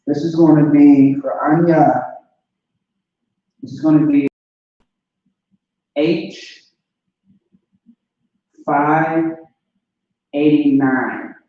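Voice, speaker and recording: A man's voice: on the high side, fairly even intonation, extremely slow, pauses often. A man giving an informal language lesson, talking casually and often checking that listeners follow. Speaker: language teacher. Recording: distant lo-fi mic in a very echoey room.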